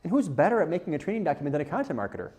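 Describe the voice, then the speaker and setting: Man, slightly high voice, conference speaker, informal presentation, candid, self-deprecating, questions to the audience. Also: very animated, racing, darkish tone. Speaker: conference speaker, content marketer.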